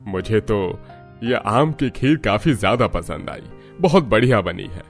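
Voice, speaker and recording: A man voicing an older village grandfather in an animated story, speaking plainly and mildly. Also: on the low side, unhurried, creaky. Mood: pleased. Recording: music under the voice.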